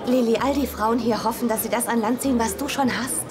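A woman's voice: on the high side, fairly even intonation, fairly quick, lightly acted. A woman dubbing a direct, sensible young woman who talks bluntly and asks pointed questions. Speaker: dubbed sitcom character. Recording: loud bar music and crowd.

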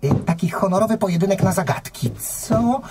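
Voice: medium pitch, expressive, brisk, very noisy, close to an everyday voice. An actor playing a polite, frightened little boy who stammers and pleads in a children's musical radio play. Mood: nervous, bargaining.

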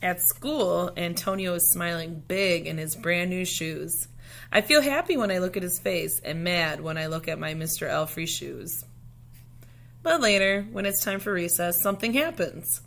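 A woman with a slightly low voice reads a children's picture book aloud. She phrases it deliberately and dramatically, as a teacher does for young listeners. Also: expressive, vocal fry, fairly bright tone.

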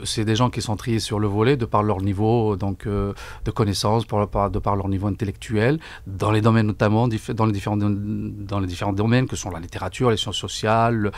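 Halting low-voiced man, close and dry. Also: no echo. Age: middle-aged. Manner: halting, often repeats words, deliberate. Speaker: book fair coordinator, interview guest. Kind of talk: formal radio interview answers. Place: radio studio. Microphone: broadcast microphone on desk stand.

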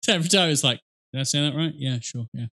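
A man's voice: a little high, fast talker, noisy background. A middle-aged man giving casual, sarcastic, nitpicky reaction commentary with some swearing. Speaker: reaction streamer. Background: game and cutscene audio with music.

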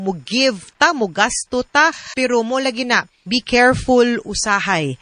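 Bright-voiced Cebuano Filipino woman giving radio advice. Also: medium pitch, no room sound. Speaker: radio advice show host, lawyer. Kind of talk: conversational radio advice, mixing in English. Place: radio studio.

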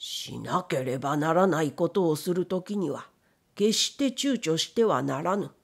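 A woman's voice: low-pitched, lively intonation, unhurried, heavily acted. A stern old factory master from a classic novel, acted out by a woman reading aloud. He speaks bluntly and imperiously, in an elder's old-fashioned phrasing, pressing for answers and giving orders. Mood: stern, probing. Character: stern old male factory owner, commanding and probing. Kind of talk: audiobook character reading.

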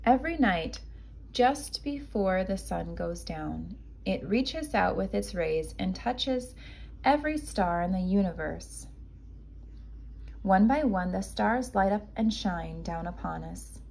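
Gentle raccoon mom for a picture book female reader, reassuring, slow-paced and patient. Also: mid-range voice, animated, gravelly, breathy edge, full character voice. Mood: tender explaining. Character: gentle, loving mother raccoon. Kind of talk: children's storybook read-aloud.

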